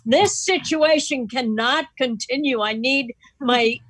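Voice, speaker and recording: A woman's voice: high-pitched, slow pace, fairly bright tone, no echo. A woman chatting casually on a video call as a member hosting a club meeting. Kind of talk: casual chat.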